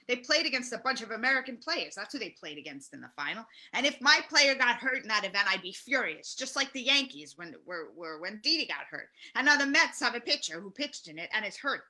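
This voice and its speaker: A woman acts out an incredulous, cranky sports talk host in his sixties. The voice is very bright and cutting, fairly high and lively. The host is blunt and repeats questions at hapless callers in a comic radio parody. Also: full character voice. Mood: exasperated, then indignant. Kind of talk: comedy table read of sports talk radio.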